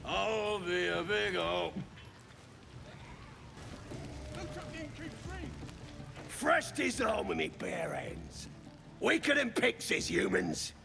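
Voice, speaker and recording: A male actor playing a brutish goblin warrior in a fantasy game, with a gravelly voice. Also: sits high, very animated, takes it slowly, full character voice. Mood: aggressive, boastful. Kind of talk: fantasy game creature acting.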